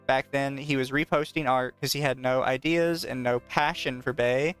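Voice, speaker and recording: A man's voice: medium pitch, fairly even intonation, normal speed, clear, resonant tone. A man co-hosting a podcast in casual chat, often reading aloud with a mocking, profane manner. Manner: mocking, profane, laughs easily. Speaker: podcast co-host. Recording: soft background music.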